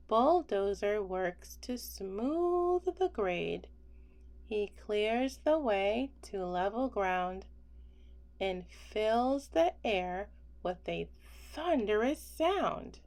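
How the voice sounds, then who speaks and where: A woman reading a children's picture book aloud as a bedtime story, expressive and gentle, with deliberate phrasing. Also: medium pitch.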